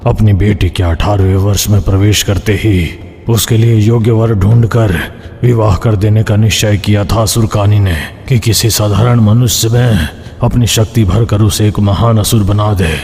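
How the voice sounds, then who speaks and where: A man's voice: lowish voice, fairly even intonation, normal speed, gravelly, a little mellow. A man voicing a defiant severed ghostly hand that serves a demon, taunting and explaining in a horror cartoon. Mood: grimly explaining. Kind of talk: Hindi horror cartoon dialogue. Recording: long hall reverb, background noise.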